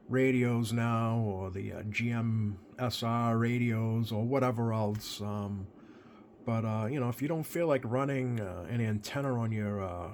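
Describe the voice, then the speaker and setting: An American man giving a casual, unscripted product walkthrough as a hobbyist, speaking in a hesitant, halting way with drawn-out pauses. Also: mid-range voice. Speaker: radio hobbyist presenting a video. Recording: clear steady background noise.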